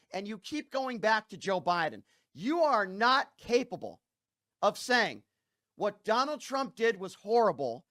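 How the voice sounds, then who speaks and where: A man's voice: sits high, pushed and bright, no room sound, studio quality. A man hosting a political call-in show, arguing in an indignant, emphatic manner and firing pointed rhetorical questions. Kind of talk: heated political argument.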